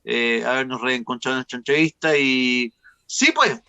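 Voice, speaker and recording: A man's voice: mid-range voice, moderate pace, pushed and bright, clear, resonant tone, dull audio. A man with a Chilean accent hosting a casual interview in an affectionate, informal, chatty way. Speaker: program host.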